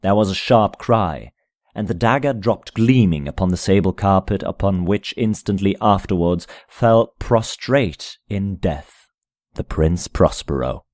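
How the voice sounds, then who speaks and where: A man narrating a gothic tale as a dramatic reading, in a solemn, measured manner with deliberate phrasing. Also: deep voice, expressive, darkish tone, no echo, studio quality. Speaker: amateur audiobook narrator.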